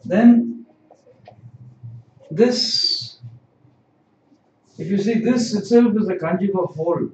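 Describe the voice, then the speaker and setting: A man's voice: high-pitched, takes it slowly, pauses often, a little mellow, slight background noise. A man teaching kanji in a livestream lesson, explaining patiently and repeating key words. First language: not Japanese. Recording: distant, roomy, dull lo-fi stream audio.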